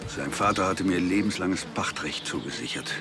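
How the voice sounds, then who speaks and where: An actor playing a bitter, defiant beekeeper suspected in a TV crime drama, condescending and indignant. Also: male voice, low voice, moderate pace, music in the background. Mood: bitter, angry. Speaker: murder suspect.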